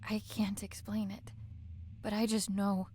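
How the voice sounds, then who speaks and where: A woman's voice: mid-range voice, level tone, unhurried, darkish tone, breathy edge. An actress playing a troubled, guilt-ridden but determined woman in a video game drama, speaking in an earnest, hesitant way. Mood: anguished, determined.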